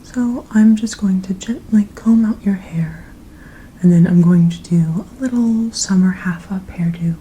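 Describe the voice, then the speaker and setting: A woman performing an ASMR personal-attention role-play, speaking in a gentle, soothing, attentive manner. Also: mid-range voice, a little slow, dull audio, a little hiss or hum.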